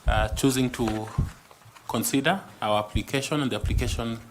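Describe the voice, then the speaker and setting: A man chairing a selection panel, welcoming a candidate in a courteous, deliberate and formal way. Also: mid-range voice, slight background noise. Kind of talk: formal panel interview opening. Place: interview room. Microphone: table microphone.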